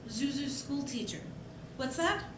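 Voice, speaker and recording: A woman's voice: sits high, animated, measured pace, noisy background. A woman playing a concerned, patient wife and mother, soothing yet anxious, in a live radio play. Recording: roomy live stage, music behind.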